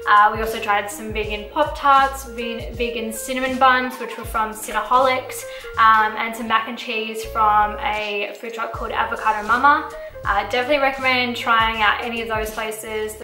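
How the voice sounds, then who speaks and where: A woman's voice: fairly high pitch, roomy sound. A young woman co-hosting a casual vlog, chatting with frequent "like" fillers. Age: young adult. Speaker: vlog co-host. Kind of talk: casual chat. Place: bright indoor room, seated.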